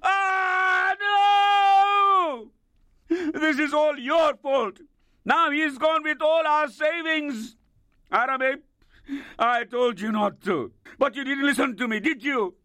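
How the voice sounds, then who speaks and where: A man's voice: very high-pitched, slow, sharp tone, dry recording, full character voice. A man playing an outraged, embittered middle-aged husband in an audio drama, heated and accusing. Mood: furious, accusing.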